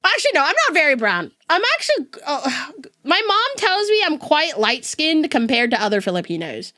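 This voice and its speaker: Bubbly high-voiced West Coast woman. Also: normal speed. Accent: neutral West Coast American. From United States West Coast. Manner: chatty, laughs often, animated storytelling. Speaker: VTuber streamer. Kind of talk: casual chat with chat.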